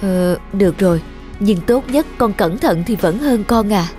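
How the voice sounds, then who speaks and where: A woman's voice: medium pitch, animated, measured pace, over music, natural voice. A woman playing an anxious, protective young mother in a cartoon, speaking in an urgent, scolding way. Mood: firm, wary. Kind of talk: dubbed cartoon dialogue.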